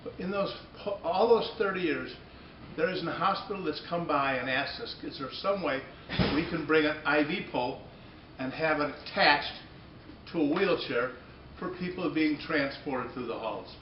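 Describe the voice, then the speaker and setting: Male product demonstrator, halting and unscripted, restating as he goes; dull, roomy sound with light noise behind. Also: on the high side. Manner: halting, repeats and rephrases phrases. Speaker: company presenter demonstrating a product. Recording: echoey room, dull muffled audio, noticeable background noise.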